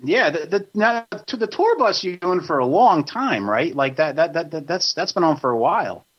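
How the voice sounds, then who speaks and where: A podcast host in his late thirties talks in a loose, rambling way, full of swearing and quick laughter. His voice sits a touch high for a man. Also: expressive, breathy edge, lo-fi sound. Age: 30s. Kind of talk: casual podcast chat. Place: studio.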